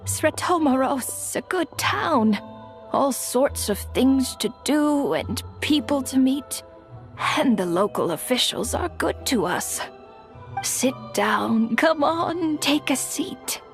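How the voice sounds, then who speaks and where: Frail forgetful old village granny, slow n creaky, stammers and fusses, game cutscene. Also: female voice, high voice, animated, faint music behind, full character voice. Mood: doting. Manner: hesitant, stammering, fond and fussing. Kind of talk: video game cutscene acting.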